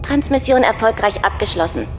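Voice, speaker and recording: A woman's voice: on the high side, expressive, a little fast, background noise, natural voice. A woman voicing a cool female onboard ship computer in a sci-fi audio drama, giving clipped, neutral announcements. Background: music.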